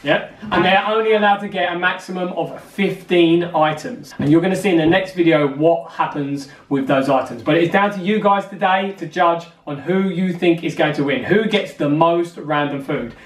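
Upbeat dad hosting a family vlog. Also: male voice, a little high, moderate pace, few pauses, roomy sound, recorded from a distance. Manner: upbeat, coaching the kids. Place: home room, then car and supermarket.

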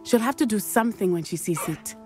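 A woman's voice: mid-range voice, animated, music in the background, natural voice. A woman voicing a composed, daring schoolgirl witch. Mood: confident. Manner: measured, formal, confident speech, often hushed. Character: composed teenage schoolgirl witch, brave and determined. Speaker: game character.

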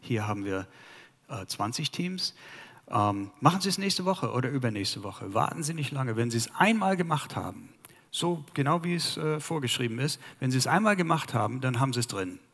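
A man in his fifties from the United States speaking German with an American accent and pausing to search for words, presenting to a conference audience on a headset mic in a large hall. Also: mid-range voice, lively intonation, a bit bright. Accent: American English. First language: English. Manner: halting, searches for German words, many fillers. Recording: long hall reverb.

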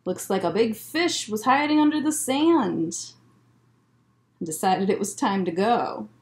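A young American woman, a children's librarian, reading a picture book aloud to children with expressive, deliberate phrasing and short asides. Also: mid-range voice. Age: young adult. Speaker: children's librarian hosting story time. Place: indoor library room lined with bookshelves. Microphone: webcam at desk distance.

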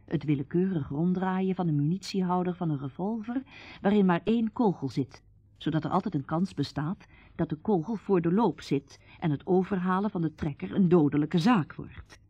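Composed lady storyteller narrating a radio drama, soft mellow tone, dry sound. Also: fairly low pitch, moderate pace, breathy edge, dry recording, lo-fi sound. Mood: measured.

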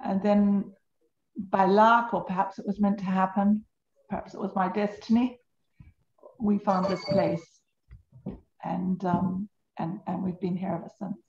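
A woman's voice: medium pitch, little pitch movement, measured pace, vocal fry, long pauses, darkish tone, slight background noise. An older woman from New Zealand telling her own story as an interview guest in conversation. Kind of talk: unscripted personal storytelling in conversation. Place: home on a canal in Venice. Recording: echoey room, slightly dull sound.

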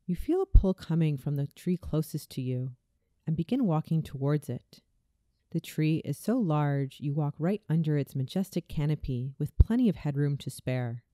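Very dark, mellow low-voiced woman narrating meditation. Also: expressive, no room sound, studio quality. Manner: soothing, slow, deliberate delivery. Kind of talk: scripted guided visualization narration.

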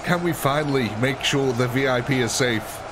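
A man's voice: mid-range voice, normal speed, noisy background. A man hosting a live game stream in casual commentary, cheerful and chatty, laughing often and sniffly with a cold. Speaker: livestream gaming host.

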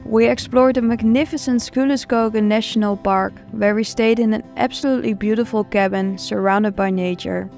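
A woman's voice: medium pitch, steady tone, normal speed, creaky, hardly any pauses, darkish tone, soft background music. A cheerful young woman vlogging a long hike, chatting on the trail and narrating. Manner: cheerful, enthusiastic. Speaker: hiking vlogger. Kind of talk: travel vlog narration and trail chat. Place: outdoors on a forest trail.

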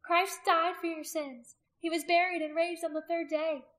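A woman's voice: very high-pitched, level tone, moderate pace, a bit bright, pitched above the performer's own voice. A woman narrator voicing a devout condemned wife in an audiobook, earnest, grieving yet steadfast. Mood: earnest pleading. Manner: earnest, pleading, prayerful.

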